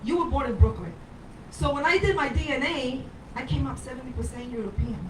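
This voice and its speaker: Older New woman, high voice. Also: fairly quick, distant mic, noisy background. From Puerto Rico. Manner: rambling, emphatic, personal stories. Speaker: candidate for mayor. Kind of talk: speaking at a candidate forum. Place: bar with a live audience. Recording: roomy sound from off the mic.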